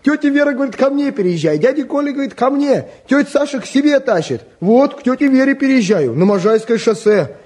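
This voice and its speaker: A man's voice: extremely high pitch, expressive, fairly quick, a little mellow. A man acting a breezy, boastful young provincial fellow, chatty and sociable, in a 1950s radio play. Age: young adult.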